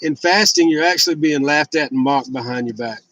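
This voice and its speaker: A man's voice: on the high side, a little fast, fairly bright tone, no echo, highs cut off. Candid man in his fifties, dry close sound. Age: 50s. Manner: candid, rambling, blunt with some swearing. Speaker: vlogger sharing fasting and fitness journey. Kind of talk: casual personal confessional vlog.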